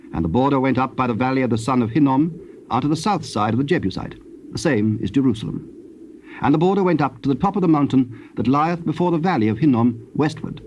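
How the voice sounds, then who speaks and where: British male narrator, mid-pitched, formal and measured scripture reading on slightly noisy old tape. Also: darkish tone, lo-fi sound.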